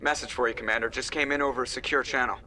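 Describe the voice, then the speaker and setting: An actor playing a brisk, dutiful ship crewman relaying messages in a video game. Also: medium pitch, fairly even intonation, bright, forceful tone, far from the mic, a little hiss or hum. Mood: businesslike. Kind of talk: video game dialogue acting.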